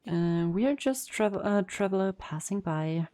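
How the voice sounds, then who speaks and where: A woman's voice: mid-range voice, animated, normal speed, dark tone, no room sound. A woman giving casual, wry and sceptical commentary as she plays and reacts to a game. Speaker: streamer playing and reacting to a game.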